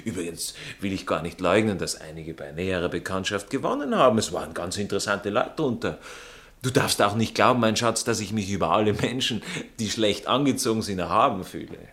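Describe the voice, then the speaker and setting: Snobbish Austrian sporting gentleman, condescending and nonchalant, very animated intonation, vintage radio drama. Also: lowish voice, brisk. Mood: disdainful, conciliatory. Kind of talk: 1960s German-language radio play acting.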